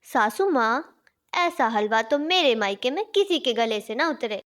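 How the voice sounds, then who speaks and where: A young daughter-in-law in a cartoon family story, acted by a woman, high in pitch and showy. She brags with feigned innocence and takes her time over the words. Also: expressive, a little slow, fairly bright tone. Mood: boastful, complaining. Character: boastful young daughter-in-law bragging about her parents' home. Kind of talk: Hindi cartoon story voice acting.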